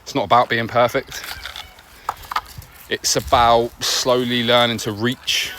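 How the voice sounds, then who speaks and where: A man giving an earnest, reflective podcast monologue on an outdoor walk, speaking conversationally in short phrases with pauses between them. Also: mid-range voice, a bit bright. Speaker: podcast host. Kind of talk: reflective personal monologue while walking a dog. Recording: noisy outdoor recording with nature ambience.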